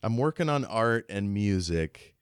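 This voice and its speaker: Slow-paced, low-voiced man chatting on a podcast. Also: lively intonation, clear, resonant tone, dry recording, studio quality. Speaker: podcast co-host. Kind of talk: casual podcast chat.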